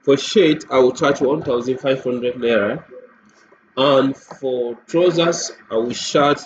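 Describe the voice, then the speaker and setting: Guy doing a screen share walkthrough, slow talker, kinda dull audio with noise behind him. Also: medium pitch. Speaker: software demo presenter. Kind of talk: unscripted screen-share tutorial. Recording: muffled lo-fi audio, clear background noise.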